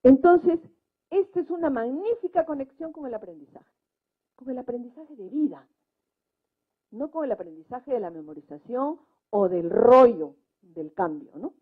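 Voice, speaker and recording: A woman's voice: high-pitched, lively intonation, moderate pace, slightly rough. A woman speaking spontaneously as a panelist in a debate, making her points emphatically and often checking in with short tag questions. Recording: muffled, narrow-band live recording.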